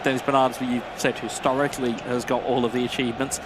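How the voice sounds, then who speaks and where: A man's voice: medium pitch, flat delivery, fast, a crowd in the background, rough recording. A man who once competed, giving analytical co-commentary on an esports match. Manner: thoughtful, analytical, a few restarts. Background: background music.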